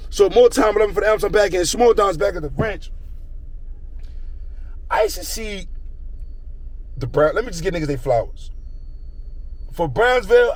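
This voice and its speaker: A man's voice: high voice, poor audio. Rambling street storyteller, slangy man. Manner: slangy, rambling, repeats a catchphrase. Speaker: rapper telling a story.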